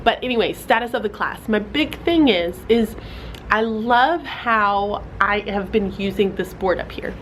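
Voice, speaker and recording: A woman's voice: medium pitch, measured pace, fairly bright tone, a little hiss or hum, poor audio. A young woman, a fourth grade teacher, talking casually in a vlog, a little stuffy from a cold, with lots of "like" and "um". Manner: chatty, filler-heavy with "like" and "um". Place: classroom.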